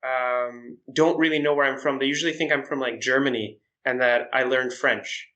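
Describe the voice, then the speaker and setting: A young man as a podcast guest, telling stories casually with frequent pauses and restarts. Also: mid-range voice, low-quality recording. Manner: relaxed storytelling, hesitant with "uh" and restarts. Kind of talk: casual chat.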